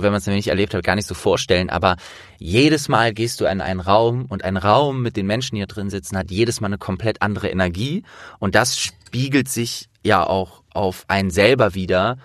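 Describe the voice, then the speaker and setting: A man's voice: fairly low pitch, moderate pace, no echo, studio quality. A man chatting casually and animatedly as he hosts an interview. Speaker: interviewer and host, trained actor.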